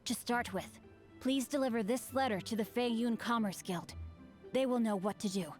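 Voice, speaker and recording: A woman's voice: normal speed, natural voice. Woman doing a fancy bookish teen swordsman boy, super formal and flowery, faint game music. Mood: composed. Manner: formal, flowery and high-minded. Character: refined teenage boy swordsman, bookish and gallant. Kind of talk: video game dialogue.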